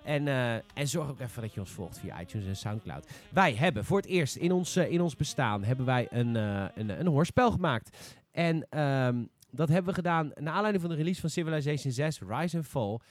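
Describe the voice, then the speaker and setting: A man's voice: mid-range voice, expressive, fairly quick, hardly any pauses, soft background music. A man hosting a casual podcast chat about games. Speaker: podcast host.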